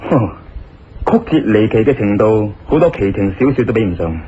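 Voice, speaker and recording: Assured investigator, reassuring, mellow tone, muffled radio drama recording, music bed. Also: male voice, fairly low pitch, expressive, fairly quick, breathy edge. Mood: calm. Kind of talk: Cantonese radio drama acting.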